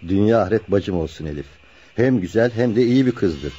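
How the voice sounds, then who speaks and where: An actor playing a friendly, contented married carpenter in a radio play. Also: male voice, fairly low pitch, lively intonation, a little fast, dark tone, slightly rough. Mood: amused, contented. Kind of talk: naturalistic radio play dialogue. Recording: dull lo-fi radio play recording.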